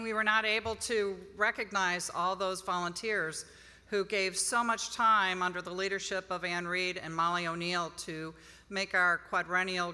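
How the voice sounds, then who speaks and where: A woman's voice: on the low side, pushed and bright. A middle-aged woman giving an informal conference presentation as an institute director at a podium microphone in a ballroom. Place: large hotel ballroom, on stage. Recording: reverberant hall PA sound.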